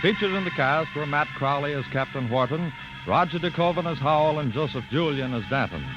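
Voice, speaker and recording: Higher-pitched vintage male radio announcer. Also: a little fast. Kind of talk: formal 1950s radio announcing. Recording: dull 1950s radio recording. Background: music.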